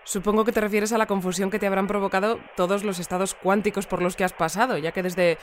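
An actress playing a polite, composed female news presenter, speaking earnestly and in measured phrases in an audio fiction bulletin. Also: medium pitch, fairly even intonation, a little hiss or hum, lightly acted. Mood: curious, polite.

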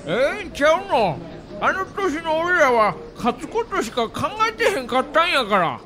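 A man's voice: very high-pitched, big pitch swings, moderate pace, sharp tone, full character voice. A male actor playing Kansai-accented baseball men quoted in an audio documentary about their championship season. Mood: easygoing. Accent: Kansai Japanese.

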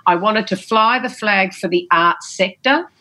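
A woman's voice: mid-range voice, unhurried, pushed and bright. A woman, a former politician, answering interview questions in an emphatic, storytelling way with a big theatrical voice, often repeating phrases and saying "you know".

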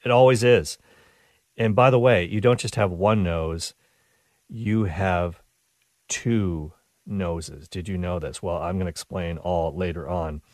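Brief: male radio host, low voice, dry studio sound, excited and chatty, slightly congested. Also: a little slow, dry recording. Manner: enthusiastic, chatty, frequent restarts. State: stuffed up nose. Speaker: radio talk show host. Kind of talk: upbeat radio show monologue.

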